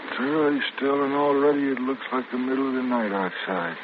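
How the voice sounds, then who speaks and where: An actor playing a weary male inmate who speaks slowly and gloomily in a radio drama. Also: medium pitch, steady tone, natural voice. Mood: gloomy. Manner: slow, reflective and gloomy. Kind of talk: 1940s radio drama acting. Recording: tinny old radio broadcast with hiss.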